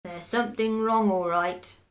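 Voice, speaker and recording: Bullying storybook husband, voiced by a woman: spiteful, taunting, slow and emphatic, savoring every threat. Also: mid-range voice, steady tone, natural voice. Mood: scornful. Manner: taunting, drawn-out mock solemnity.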